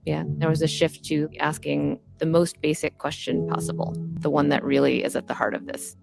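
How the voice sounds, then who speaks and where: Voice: medium pitch, flat delivery, moderate pace, vocal fry. A person explaining design work in a documentary interview style, as a creative director would. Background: music bed.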